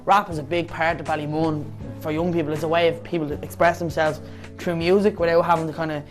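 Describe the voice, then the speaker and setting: Fast-talking young Dublin man. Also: fairly high pitch, little pitch movement, soft background music. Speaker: presenter. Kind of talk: documentary presenting and interview.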